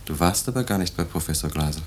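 An actor playing a calm, concerned husband in a 1950s radio drama, questioning in a measured, patient way. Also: male voice. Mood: concerned, probing. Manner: measured, probing. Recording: faintly noisy, rumbly old radio recording.

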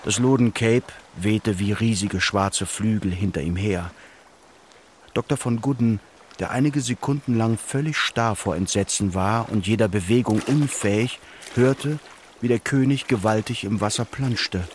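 A man's voice: on the low side, unhurried. A man narrating a literary radio play in a scripted storytelling style. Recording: clear ambient noise behind the voice.

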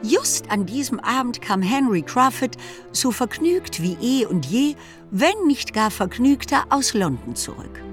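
A woman's voice: on the high side, very animated, a little slow, faint music behind. A woman reading scripted literary narration for a radio play in a composed storytelling manner.

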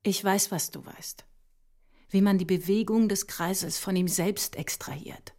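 An actress playing a visionary woman mathematician, solemn and urging, with husky, breathy speech in a poetic audio drama. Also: medium pitch, measured pace, warm tone, natural voice. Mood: solemn, commanding. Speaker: performer in an experimental audio drama. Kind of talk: poetic scripted dialogue.

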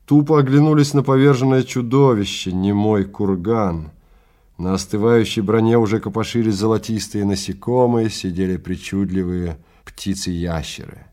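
A man narrating a story in a theatrical, deliberate manner with dramatic pauses. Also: fairly low pitch, mellow.

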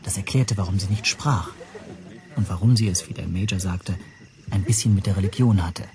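An actor playing a reserved hotel guest who narrates a radio drama in the first person, measured and understated. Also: male voice, deep voice, a crowd in the background. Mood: composed, observant.

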